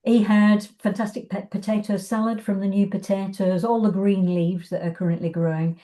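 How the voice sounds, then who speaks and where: A woman's voice: medium pitch, level tone, normal speed, warm tone. A woman chatting casually in an interview, hesitant and thinking aloud as she goes. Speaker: arts organisation guest.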